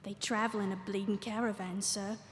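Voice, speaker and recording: A woman's voice: medium pitch, level tone, fairly quick, close to an everyday voice. An actress playing a cheeky, impatient British woman lieutenant in a wartime audio drama. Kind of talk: live audio drama acting. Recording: echoey hall, live stage recording.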